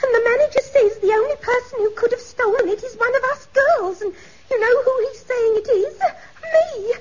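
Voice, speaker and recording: An actress playing a tearful, melodramatic young fiancée in a radio comedy, sobbing and gushing. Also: female voice, very high voice, moderate pace. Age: young adult. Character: tearful melodramatic young woman, an office girl engaged to be married. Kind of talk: 1950s radio comedy acting. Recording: dull old radio recording.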